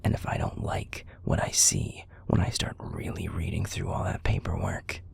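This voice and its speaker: A man playing a bitter, cynical werewolf pack enforcer in an audio roleplay, with a husky, gruff, confrontational delivery. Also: sits low, big pitch swings, moderate pace, in a whisper, full character voice. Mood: grudging, threatening. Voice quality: gravelly, husky, breathy. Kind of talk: scripted audio roleplay acting.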